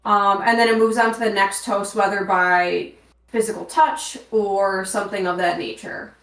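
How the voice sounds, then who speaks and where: A woman's voice: medium pitch, steady tone, normal speed, a bit bright. A woman playing a tabletop game, talking through clues and reading handouts aloud, sometimes stumbling over words. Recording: roomy echo, distant from the mic.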